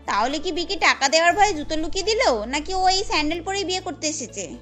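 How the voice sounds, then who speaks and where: A woman's voice: extremely high pitch, expressive, fast talker, a bit bright, faint music behind. A woman voicing a bossy, scheming young woman in a cartoon, chiding and chatty. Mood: puzzled, annoyed.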